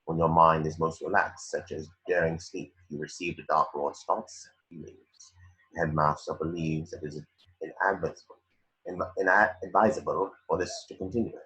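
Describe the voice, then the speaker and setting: A man's voice: very deep voice, steady tone, moderate pace, fairly bright tone, low-quality recording. A man playing a sneering, cold wizard professor in a script read, speaking in formal, curt, condescending phrases. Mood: stern lecturing.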